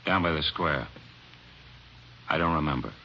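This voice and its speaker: A defiant young thief who mouths off at the cops, deep-voiced and edgy. The tone is bright and tense, captured on a muffled, lo-fi old radio broadcast. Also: male voice, moderate pace, creaky, slight background noise, full character voice. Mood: surly. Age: 20s. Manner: wisecracking, sullen, defiant. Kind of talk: 1950s radio drama acting.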